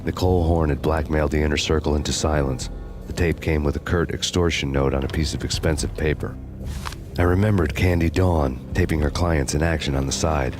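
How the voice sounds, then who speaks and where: World-weary American noir avenger for game narration. Extremely low, monotone, wry, over music. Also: male voice. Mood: sardonic, brooding. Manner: deadpan, sardonic. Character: vengeful world-weary hard-boiled male detective, bitterly wry.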